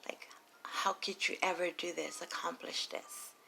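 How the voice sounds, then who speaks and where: A woman reading tarot late at night, talking softly and low and slipping into whispers. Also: steady tone, unhurried, vocal fry, slight background noise, rough recording. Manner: soft and low, drifting into whispers. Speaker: tarot reader.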